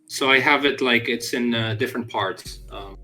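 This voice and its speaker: A man's voice: mid-range voice, moderate pace, bright, forceful tone, faint music behind. A man with a Greek accent chatting casually on a game development stream, hesitant and full of fillers and restarts. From Greece. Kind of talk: casual chat on a live stream.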